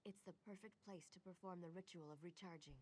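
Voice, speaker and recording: A woman's voice: lowish voice, fairly even intonation, quick pace, darkish tone, a little hiss or hum, lightly acted, poor audio. A woman voicing a plucky, wry mage in a video game, speaking in a conversational, matter-of-fact way. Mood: wry, confident. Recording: game dialogue with music behind.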